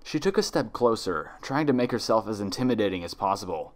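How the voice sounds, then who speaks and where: A man's voice: fairly low pitch, lively intonation, brisk. A man reading scripted fiction aloud as a dramatic story narrator.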